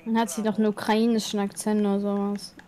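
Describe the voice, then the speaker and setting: A woman's voice: mid-range voice, monotone, fast talker, dark tone. A woman chatting casually and teasingly with friends in a voice chat. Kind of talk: casual group voice chat over gameplay.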